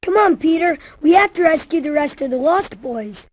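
A woman's voice: sits high, lively intonation, normal speed, darkish tone, no room sound, muffled recording. A woman voicing an earnest, eager little chubby boy, a loyal Lost Boy in a video game cutscene. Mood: earnest, grateful.

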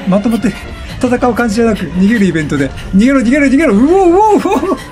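Man, high voice, swooping intonation, let's play commentary, exclamatory repeats, game music behind. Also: animated, a little mellow. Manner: exclamatory, repeats words rapidly in reaction. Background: video game music.

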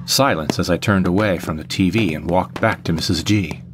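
Low-pitched male drama narrator. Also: normal speed. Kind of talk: scripted first-person drama narration. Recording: faint music behind the voice.

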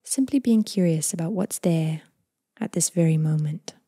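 Female meditation guide, breathy near-whisper, calm unhurried delivery, very dry close recording. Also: sits low, dry recording. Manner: gentle, unhurried, calming delivery with long phrases trailing off. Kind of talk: guided body scan meditation, slow and soothing.

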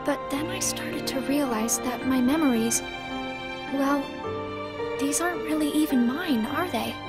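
Game role: resolute, solemn teen girl, high voice, earnest and subdued, loud music behind. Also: unhurried. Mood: sad, resigned. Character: solemn teenage girl, selfless and quietly resolute. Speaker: voice actor in a video game. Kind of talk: dramatic game cutscene acting. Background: loud melancholy music.

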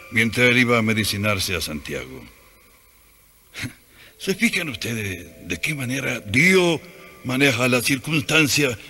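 A man playing a zealous, undaunted missionary priest in a radio drama, preaching grandly with an Italian accent and dramatic pauses. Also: medium pitch, pushed and bright, heavily acted. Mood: earnest, warm. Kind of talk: radio drama acting, declamatory sermon.

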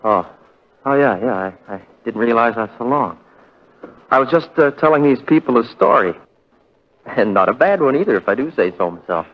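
A man's voice: medium pitch, sing-song, a little fast. An actor playing a folksy, rueful condemned man chattily telling his story in a 1940s radio drama. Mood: sheepish. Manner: chatty, hesitant, aw-shucks. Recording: muffled 1940s radio broadcast.

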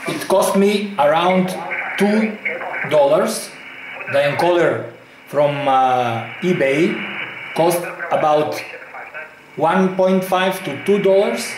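A man with a slightly high voice walks through a demonstration slowly, in short halting phrases. He sounds like he is in an echoey home room, with a clear hum behind him. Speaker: hobbyist demonstrating a homemade gadget. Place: small home room at a computer desk.

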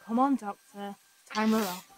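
A woman's voice: medium pitch, normal speed, heavy background noise, lightly acted. A woman acting a young, earnest, plain-spoken female companion in an audio drama. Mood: teasing.

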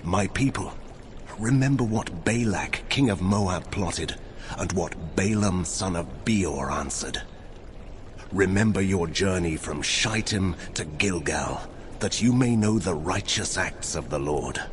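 An actor playing the Lord God as a mighty, wrathful deity in a dramatized audio Bible, solemn and commanding. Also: male voice, sits low, animated, slow, background noise. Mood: grave, accusing. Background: dramatic music.

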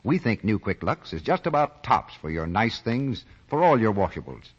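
An American man announcing and pitching for a sponsor in 1940s radio style. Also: big pitch swings, normal speed, slightly rough. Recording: muffled vintage radio broadcast.

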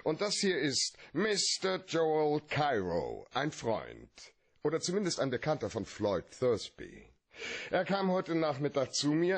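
A man playing a cocky, quick-witted private detective in a German radio play, glib and mocking. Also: mid-range voice, husky. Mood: smooth-talking.

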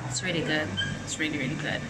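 A woman's voice: deep voice, fast, very noisy. A young adult woman chatting in a casual, playful vlog style with slangy, joking turns. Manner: slangy, jokey. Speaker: vlogger. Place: outdoors on garden paths and trails, later an ice cream shop.

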